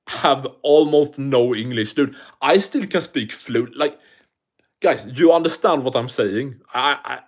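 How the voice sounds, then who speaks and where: A man's voice: medium pitch, lively intonation, slow. A man with a thick non-native accent hosting a casual livestream, rambling and swearing as he answers viewer comments. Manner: rambling, profane, restarts often. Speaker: livestream host.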